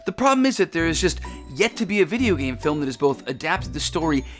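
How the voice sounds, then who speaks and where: A man's voice: fairly high pitch, sing-song, fast talker, soft background music. An actor playing a jaded, argumentative video game fan, a young man speaking emphatically in a comedy sketch. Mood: explaining. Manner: opinionated, emphatic. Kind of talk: scripted comedic debate.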